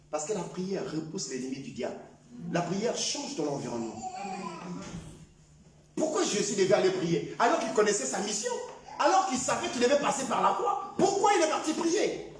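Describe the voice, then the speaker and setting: A male pastor preaching a sermon, often shouting his points and acting out prayers. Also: sits high, slight background noise. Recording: echoey hall, voice from far off.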